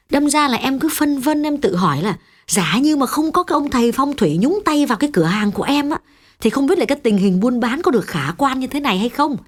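A man voicing a prosperous, anxious middle-aged woman shop owner in a chatty, hesitant falsetto. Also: full character voice.

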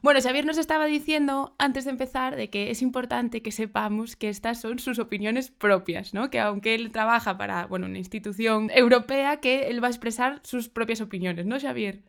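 A woman's voice: fairly high pitch, fairly even intonation, creaky. A woman from Galicia with a Galician Spanish accent who hosts a podcast in a friendly, chatty way. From Galicia, Spain. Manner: friendly, with quick laughs. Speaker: podcast host. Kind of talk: conversational podcast hosting.

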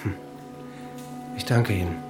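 An actor playing a subdued, polite husband in a radio play, speaking in terse phrases. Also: male voice, fairly low pitch, slow pace, music in the background. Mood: subdued, anxious. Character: subdued American husband awaiting news of his wife.

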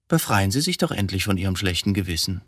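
An actor in a radio drama playing a coolly provocative, philosophical man who has confessed to killing his wife, speaking deliberately in probing rhetorical questions. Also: sits low, expressive, a bit bright, breathy edge, no echo, full character voice. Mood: cool, defiant.

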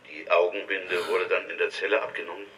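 An actor playing a sober, methodical interviewer in a radio drama, asking short formal questions in a matter-of-fact way. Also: male voice, deep voice, level tone, fairly quick, sharp tone, distant mic, slight background noise, rough recording. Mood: sober, probing. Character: sober, methodical male interviewer taking testimony.

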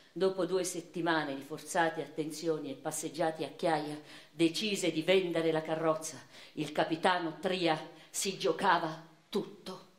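An actress playing an educated, passionate and wounded eighteenth-century noblewoman, recalling her life in a measured, intense radio monologue. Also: low voice. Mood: resigned, sorrowful. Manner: measured, intense delivery swinging from hushed to impassioned. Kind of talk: theatrical first-person dramatic monologue for radio. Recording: roomy echo, faint music behind.

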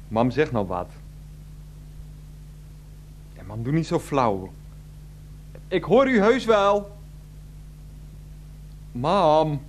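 Exasperated, whiny son, swooping pitch. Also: male voice, a little hiss or hum, exaggerated voice. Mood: exasperated, pleading. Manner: pleading, whiny, placating. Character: exasperated adult son on the phone to his mother. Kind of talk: radio play acting, one-sided phone conversation.

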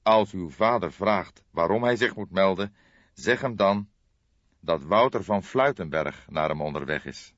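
A man's voice: low-pitched, expressive, measured pace, a bit bright, full character voice. A man voicing a stern, commanding old police detective in an audiobook. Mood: kindly insistent. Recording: dull, roomy audiobook recording.

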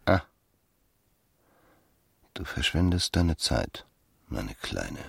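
Radio drama seducer: low, very slow, creaky, condescending. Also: male voice, sing-song, heavily acted. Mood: dismissive. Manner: languid, condescending. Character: cool, mocking male lover, condescending seducer. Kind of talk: German radio play acting.

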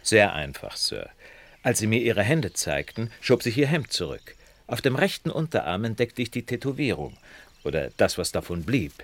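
Deep-voiced young detective. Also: male voice, sing-song, fairly bright tone. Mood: polite, curious. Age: young adult. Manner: measured, courteous, analytical. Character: young male detective, polite, precise, keenly observant. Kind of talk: German radio drama acting.

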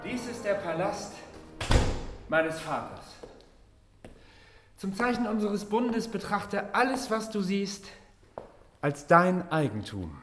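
A man's voice: sits high, big pitch swings, slow pace, pauses often, fairly bright tone, faint music behind, heavily acted. An actor playing a grandly generous king in a fantasy radio drama, with a stately, declamatory manner. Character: grandly generous male king welcoming a hero.

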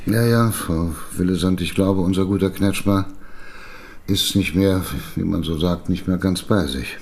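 An actor playing a dismissive, placating newspaper editor in a radio drama. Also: male voice, low voice, a little mellow, a little hiss or hum. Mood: confiding. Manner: brisk, patronizing, soothing.

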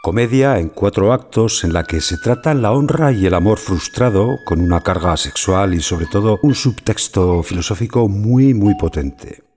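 Deep male narrator doing a scripted literary intro, bit of music underneath. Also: expressive, moderate pace, a little mellow. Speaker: narrator and commentator.

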